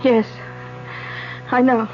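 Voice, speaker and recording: An actress playing a fragile, confused wife in shock, speaking haltingly in a vintage radio drama style. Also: female voice, a little high, flat delivery, a little hiss or hum. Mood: numb, hesitant. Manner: halting, hesitant, breaking off and repeating. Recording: dull lo-fi old radio recording.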